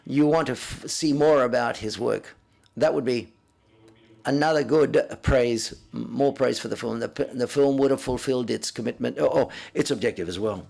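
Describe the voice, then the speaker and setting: A man interpreting live at a press conference, speaking haltingly and often correcting himself as he renders others' words in first person. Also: medium pitch, creaky, darkish tone.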